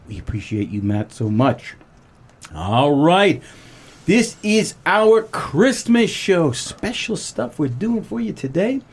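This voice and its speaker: An older man hosting a talk radio show with a playful, hammy announcing manner and a husky voice. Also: a little high, sing-song, takes it slowly. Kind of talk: talk radio hosting and live sponsor reads.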